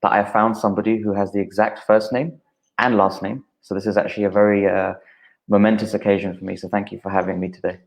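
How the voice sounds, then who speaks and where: A man, a guest calmly explaining things in an interview, with frequent uh fillers. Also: lowish voice, fast talker, creaky, poor audio. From United Kingdom. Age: 30s.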